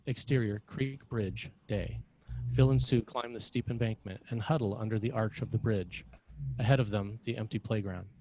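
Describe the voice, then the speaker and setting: A man reading scripted narration and stage directions as a screenplay narrator, in a measured, dramatic way. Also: fairly low pitch, steady tone, darkish tone, poor audio.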